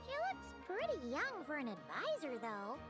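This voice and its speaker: A woman voicing a tiny floating female fairy companion who is excitable and talks about herself in the third person, in video game dialogue. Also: sits high, normal speed. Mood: chirpy. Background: game music.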